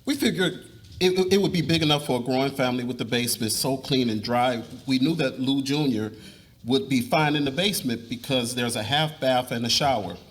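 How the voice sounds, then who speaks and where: Man acting an earnest husband, mid-pitched voice. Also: fairly quick, close to an everyday voice. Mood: confessing, earnest. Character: earnest middle-aged husband and father confessing secrets. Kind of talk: live dramatic reading of a novel. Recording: live reading with room noise.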